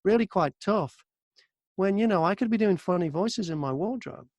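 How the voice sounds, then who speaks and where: A middle-aged man, a broadcaster and narrator, telling stories in a casual, animated, wry interview style. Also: high-pitched, normal speed, no echo. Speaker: audiobook narrator and radio broadcaster.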